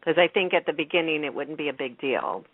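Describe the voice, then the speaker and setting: A woman's voice: low-pitched, quick pace, dry recording. A woman making casual, agreeable conversation on a radio talk show in an informal way. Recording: narrow phone-like radio sound.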